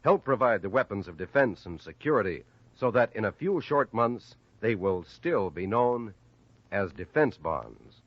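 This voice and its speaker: A low-voiced American man announcing in emphatic 1950s radio style. His delivery swings widely in pitch, and the old recording is dry and muffled. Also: sing-song, measured pace, no echo. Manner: declamatory, emphatic.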